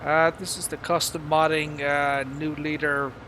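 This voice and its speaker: A man with a mild Irish accent giving casual live gaming commentary in a halting, rambling, casually sweary way. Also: fairly high pitch, level tone, pushed and bright, slight background noise. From Ireland. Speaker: game streamer.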